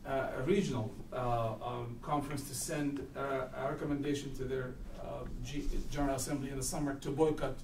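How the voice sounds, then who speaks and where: A man from Palestine speaking up at a public talk, earnest and halting with many restarts. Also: medium pitch, fairly even intonation. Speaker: audience member speaking at a talk. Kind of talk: public Q&A comment. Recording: echoey room, distant mic, noisy.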